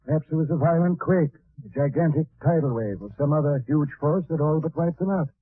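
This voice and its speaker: A man's voice: a little high, brisk, breathy edge, no echo. A man acting a thoughtful, unruffled professor on an expedition in an old-time radio drama, speaking in a composed, scholarly way. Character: thoughtful, unruffled learned male professor explorer. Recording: tinny, noisy vintage radio broadcast.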